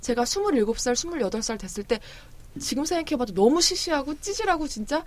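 A woman in her thirties talks as a guest author, chatty and quick to laugh. Her voice sits a little high and moves a lot in pitch, and she speaks fast. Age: 30s. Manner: lively, chatty, laughs easily. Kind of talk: casual interview talk.

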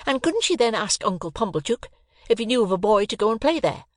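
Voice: medium pitch, sing-song, quick pace, dry recording, studio quality, pitched above the performer's own voice, close to an everyday voice. A reader voicing a snappish, sarcastic older sister who delivers long reproachful tirades in an audiobook. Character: snappish, scolding older sister, sharp-tongued. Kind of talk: audiobook character voice.

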